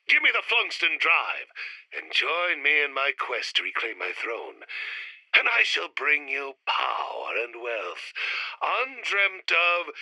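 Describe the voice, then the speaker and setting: Casting: megalomaniac evil doctor, theatrical and haughty, gravelly, piercing voice, sci-fi radio play. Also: male voice, fairly high pitch, pushed and bright, no room sound, heavily acted, low-quality recording. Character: pompous, scheming male mad-scientist supervillain, would-be emperor. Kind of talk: retro sci-fi radio serial acting.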